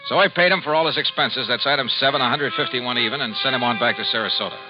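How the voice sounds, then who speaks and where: A man's voice: medium pitch, quick pace, lightly acted. An American man acting a wry, tough insurance investigator in a golden-age radio detective drama, with clipped delivery. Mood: dry narration. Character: hardboiled, wry male freelance insurance investigator. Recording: dull vintage radio recording, music behind.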